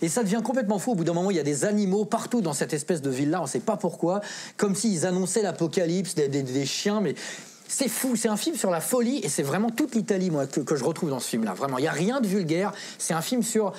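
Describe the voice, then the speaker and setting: Female film columnist for a geek panel show: low, raspy-edged voice, casual and emphatic. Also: lively intonation, fast talker, warm tone. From of Italian origin. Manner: emphatic, repetitive, slangy. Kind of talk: animated chronicle in casual panel talk.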